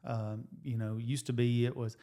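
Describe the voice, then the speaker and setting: A man's voice: mid-range voice, little pitch movement, moderate pace. Chatty American man in his forties. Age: 40s. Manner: rambling, restarts, thinks out loud with numbers. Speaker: podcast co-host, high school coach and teacher. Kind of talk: casual chat.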